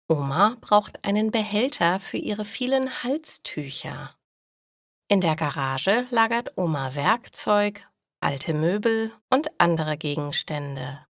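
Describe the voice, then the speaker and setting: A woman reads educational stories for language learners. Her voice sits slightly low, with lively intonation and a slow, deliberate, clearly phrased delivery in a dry recording. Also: no room sound. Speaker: story narrator for language learners. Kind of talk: scripted narration, educational storytelling.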